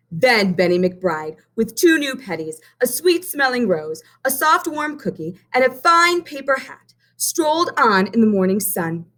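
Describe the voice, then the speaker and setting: A woman teacher reading a picture book aloud to children, stopping to count coins with them. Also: mid-range voice. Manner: slow and expressive, pausing to count coins. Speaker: primary math teacher. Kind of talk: children's read-aloud lesson.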